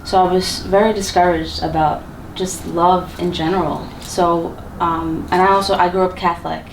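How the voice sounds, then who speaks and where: A woman's voice: on the low side, level tone, moderate pace, slight background noise. A woman chatting casually in an interview, with lots of likes and ums. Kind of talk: personal interview. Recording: roomy sound from a distance.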